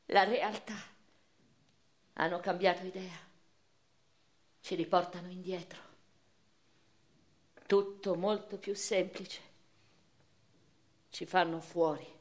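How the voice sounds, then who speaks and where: A woman acting a proud, anguished eighteenth-century Neapolitan revolutionary facing execution, in a theatrical radio drama monologue with weighty, deliberate phrasing. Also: mid-range voice, lightly acted. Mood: wistful, shaken. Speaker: stage and radio actor. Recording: violin music behind the voice.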